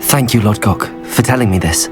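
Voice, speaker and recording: A man's voice: lowish voice, fairly quick, over music, lightly acted. An actor, a man, playing a curious, level-headed young student hero in a video game, polite and inquiring. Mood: attentive, gentle. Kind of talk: dramatic video game voice acting.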